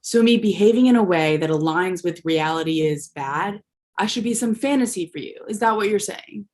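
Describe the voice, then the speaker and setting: A woman reads a girlfriend in her thirties who is leaving and is done arguing. She sounds cool and matter-of-fact, with a slightly low voice. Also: expressive, moderate pace, darkish tone, natural voice. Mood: cold.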